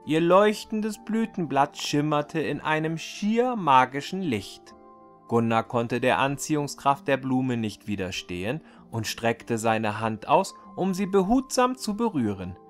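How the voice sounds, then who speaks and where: A man reading a fairy tale aloud as a storyteller, in an expressive, deliberate scripted storybook delivery. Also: mid-range voice, faint music behind.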